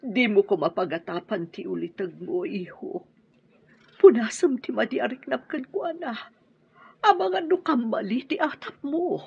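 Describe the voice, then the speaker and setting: A woman with an Ilocano accent playing a grieving, pleading widowed mother in a radio drama, tearful and drawn-out. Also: on the high side, animated, creaky, darkish tone, husky, heavily acted. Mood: anxious reproach. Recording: dull, muffled radio drama audio.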